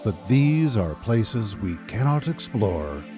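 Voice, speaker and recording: A man's voice: fairly low pitch, sing-song, takes it slowly. A man announcing and narrating a radio drama series in a theatrical, scripted style. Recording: live radio broadcast with music behind. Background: music.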